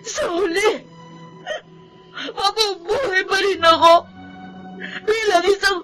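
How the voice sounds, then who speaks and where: Weeping orphaned young woman, high-pitched. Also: steady tone, takes it slowly, over loud music. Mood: tearful anguish. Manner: tearful, emotional delivery. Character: grieving orphaned young woman, abandoned as a baby, tender. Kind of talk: Tagalog radio drama acting.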